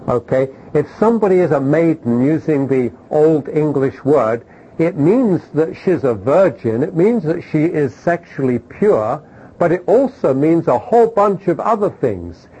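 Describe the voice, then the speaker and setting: A man's voice: on the high side, warm tone, husky, rough recording. A man teaching a Bible class in an emphatic way, often asking his listeners questions.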